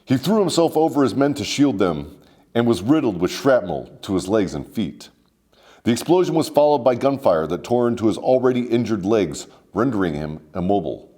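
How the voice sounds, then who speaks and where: A man's voice: fairly low pitch, very animated, moderate pace, mellow. A man hosting a scripted comedic military history story, mock-formal and sardonic with salty slang. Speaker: host and storyteller.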